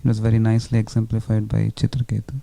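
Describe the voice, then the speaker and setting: A man's voice: on the low side, completely flat delivery, racing, vocal fry, dark tone. A man sharing a reflection as a participant in a group discussion.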